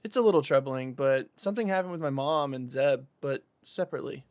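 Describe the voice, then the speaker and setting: An actor playing an earnest young man in a conversational audio drama, explaining himself in a measured way. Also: medium pitch, darkish tone, no room sound, lightly acted. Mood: troubled, uncertain. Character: earnest young man pleading for patience and trust.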